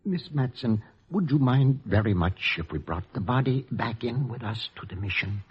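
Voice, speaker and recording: An actor playing a gentle, devout mission priest who speaks softly and formally, in 1940s radio acting style. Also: male voice, medium pitch, lively intonation, normal speed, breathy edge. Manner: soft-spoken, solemn, formal. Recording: dull lo-fi vintage radio recording.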